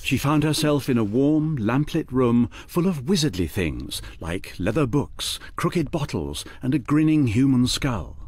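An actor narrating a fantasy radio drama as an expressive storyteller. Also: male voice, mid-range voice, unhurried, few pauses, slightly rough, no echo. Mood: vivid, descriptive.

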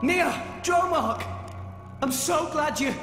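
Scared earnest boy hero in a video game, stammery, slow, music and reverb behind. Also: very high-pitched. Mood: confused, pleading. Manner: halting, stammering. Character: earnest, frightened teenage boy adventurer, bewildered and pleading.

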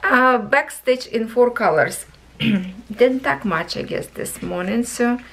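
A woman hosting a craft vlog, chatting slowly through a cross stitch kit review, voice going hoarse, recorded at a table indoors. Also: on the high side. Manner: chatty, thinking aloud, soft and slow. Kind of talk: casual product review vlog. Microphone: camera over a table, hands only in frame.

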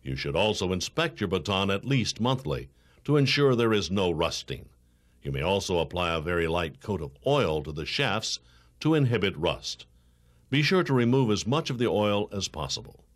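A low-pitched American man delivers scripted training narration. His tone is formal and authoritative, with lively rises and falls across each measured, instructional sentence.

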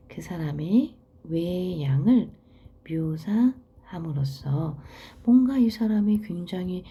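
A woman teaching literature, reading a story aloud and explaining it in a soft, half-whispered delivery. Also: lowish voice, breathy edge.

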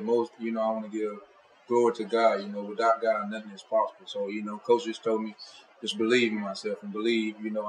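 A young college football player answers post-game questions at a press table. His voice is low and flat, saying you know often. The room adds a noticeable echo. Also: slight background noise.

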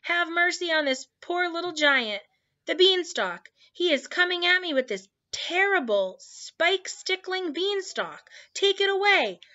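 A woman's voice: fairly high pitch, expressive, moderate pace, hardly any pauses, pushed and bright, dull audio. A woman voicing a huge brutish man-eating giant for a storybook, bellowing and screeching in terror with broken grammar. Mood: panicked, pleading.

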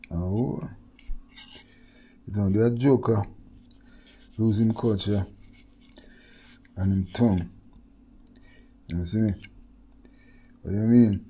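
A man's voice: lowish voice, mellow. A middle-aged Jamaican man chatting casually while he cooks in his home kitchen, slipping into Patois with laid-back asides. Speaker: cooking vlogger. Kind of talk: casual cooking chat with storytelling. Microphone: head-mounted camera, hands-on cooking.

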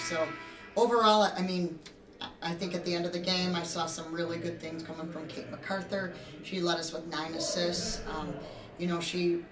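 A middle-aged woman speaking as a basketball head coach in postgame remarks, measured and hesitant with pauses. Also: sits low. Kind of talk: postgame press conference remarks. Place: indoor room, seated at table before backdrop. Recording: roomy, distant mic, noticeable background noise.

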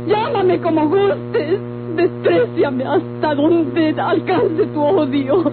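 An actress playing an anguished, defiant daughter in a Mexican radio drama, speaking with melodramatic pleading in a Mexican accent. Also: female voice, very high voice, takes it slowly. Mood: exasperated, anguished. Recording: tinny, humming old radio recording with music.

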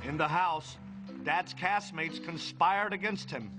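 A male promo narrator with a dramatic announcer delivery. His voice sits fairly high. A loud music bed plays under him. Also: takes it slowly, rough recording. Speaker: trailer narrator.

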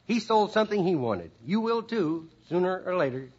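Animated high-voiced man, radio comedy host. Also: normal speed. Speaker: host introducing a comedy sketch. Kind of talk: old-time radio comedy monologue. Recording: dull old radio recording, loud music.

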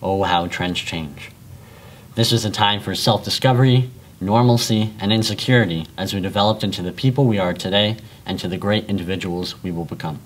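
A young man reading a prepared valedictorian speech in a school library, earnest and measured. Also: sits low, rough recording. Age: young adult.

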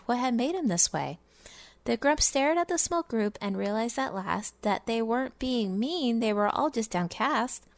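A woman giving a dramatic, expressive storybook reading in sing-song rhyming verse. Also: fairly high pitch, dry recording. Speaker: gaming video creator reading a story.

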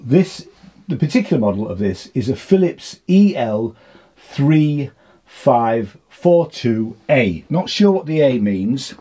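A man in his sixties with a husky voice gives an informal hands-on demonstration, explaining hesitantly as he thinks aloud. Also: medium pitch, darkish tone. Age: 60s. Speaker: vlogger demonstrating vintage audio gear. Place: small home studio with foam panels, indoors.

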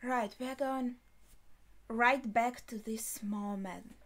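High-voiced young woman, musing slowly. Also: fairly bright tone. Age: young adult. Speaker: reaction video host. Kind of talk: casual unscripted reaction commentary. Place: small furnished bedroom, indoors.

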